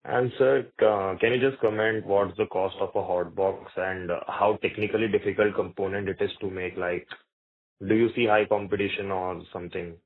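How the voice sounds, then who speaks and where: A man with an Indian accent phones in as an analyst to put questions. His voice sits fairly low, with informal, deferential wording. The line is narrow and phone-like. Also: fairly even intonation, moderate pace. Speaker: investment analyst asking questions.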